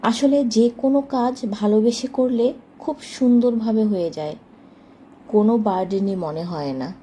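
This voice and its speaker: A woman's voice: on the high side, moderate pace, warm tone, a little hiss or hum. A woman narrating a casual daily household vlog as a homemaker, chatting to her viewers. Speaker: homemaker vlogger.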